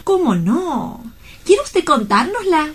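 Casting: actress for curious, sympathetic young lady, radio play, high voice with lively swoops, eager and coaxing. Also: takes it slowly, exaggerated voice. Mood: eager curiosity. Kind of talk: dramatic radio-play dialogue.

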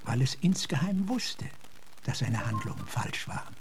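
An actor playing an earnest, philosophical gentleman officer in a German radio drama, speaking in a measured, reflective way. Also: mid-range voice, sing-song, creaky, a little hiss or hum. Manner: measured, reflective, sometimes hushed.

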